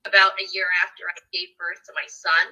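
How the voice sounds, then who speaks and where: A woman's voice: fairly low pitch, flat delivery, a little fast, sharp tone, slightly rough, low-quality recording. A woman from the west coast of Canada, a leadership coach answering interview questions in an earnest way with frequent restarts.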